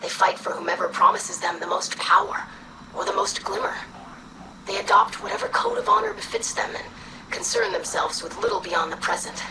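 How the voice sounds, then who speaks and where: An earnest young alien scholar, formal and precise, with a breathy, creaky voice that swoops widely in pitch. It echoes a little from off, as in a game cutscene. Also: female voice, wildly swooping pitch, brisk, far from the mic, background noise. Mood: thoughtful. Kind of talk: video game cutscene acting.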